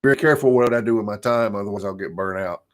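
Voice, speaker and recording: A man with a croaky voice, a marketing coach chatting as a guest in a relaxed, easygoing way with frequent laughs. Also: on the low side, fast. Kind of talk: casual chat.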